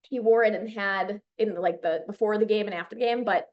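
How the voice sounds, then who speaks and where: A woman's voice: medium pitch, a little fast. Chicago lady founder, chatty, lots of um and you know, kinda dull lo-fi audio. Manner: chatty, enthusiastic, many fillers and restarts. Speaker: guest, company founder. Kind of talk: casual interview chat.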